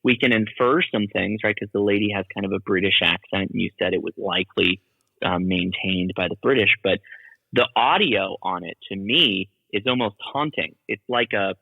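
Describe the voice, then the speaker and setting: A man's voice: lowish voice, moderate pace, creaky, slightly rough, no echo, in a large hall, phone-quality sound. A man talking as a podcast guest and radio hobbyist, explaining things in a casual, chatty way.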